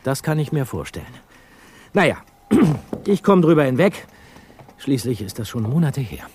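Thoughtful boy detective played by a man, lively intonation. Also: medium pitch, moderate pace, lightly acted. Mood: awkward, hurt. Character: teenage boy detective, thoughtful, sensitive sidekick. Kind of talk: German audio drama acting.

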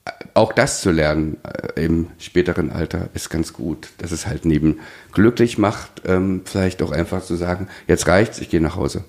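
A man co-hosting a podcast, telling personal things casually in halting, restarted phrases. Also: low voice, a little mellow. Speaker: podcast host.

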